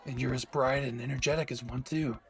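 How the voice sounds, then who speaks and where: A man's voice: mid-range voice, steady tone, a little fast, gravelly, close to an everyday voice. A man voicing a brash, cocky young superhero boyfriend who teases affectionately in an intimate romantic audio roleplay. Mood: tender, admiring. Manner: teasing, affectionate, swears casually.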